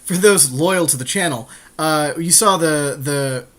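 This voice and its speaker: A man's voice: on the high side, measured pace, fairly bright tone. A man co-hosting a gaming podcast with casual banter, blunt and self-deprecating, with some swearing. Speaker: podcast co-host and card game player. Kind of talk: casual banter and game commentary.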